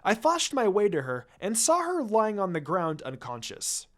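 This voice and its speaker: Storyteller with lively, swinging pitch. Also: moderate pace, faint music behind. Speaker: fan fiction reader. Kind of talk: scripted story narration, reading dialogue aloud.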